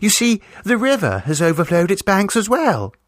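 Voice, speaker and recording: A man's voice: high voice, big pitch swings, normal speed, no room sound, clean studio sound, pitched above the performer's own voice. A man voicing a self-assured, mischievous sixteen-year-old Edwardian girl who tells tall tales in a grand, breezy manner for a story reading. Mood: breezy, dramatic.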